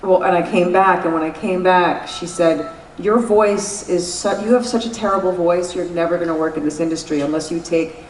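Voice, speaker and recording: New York woman, frank and salty storyteller, low-ish voice, distant echoey recording. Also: normal speed, a little hiss or hum. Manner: candid, swears freely, laughs while telling stories. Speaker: guest actress. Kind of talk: casual interview at a convention. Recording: echoey convention room, mic at a distance.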